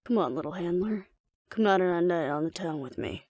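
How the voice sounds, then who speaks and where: Woman playing a flirty, gravelly alpha werewolf woman. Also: fairly low pitch, lively intonation, fairly quick, a little mellow, no echo. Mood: flirty, teasing. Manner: teasing, coaxing, playfully domineering. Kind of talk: intimate scripted audio roleplay addressed to the listener.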